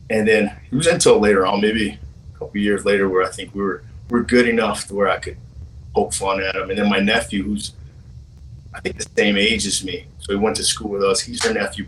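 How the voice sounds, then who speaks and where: A man in his forties from Hawaii tells his story in a relaxed, halting way, full of restarts and fillers. His voice sits on the low side and sounds a little distant from the mic, with faint noise underneath. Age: 40s. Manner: halting, many restarts and fillers, laid-back slang. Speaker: guest telling his conversion story. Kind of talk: casual storytelling.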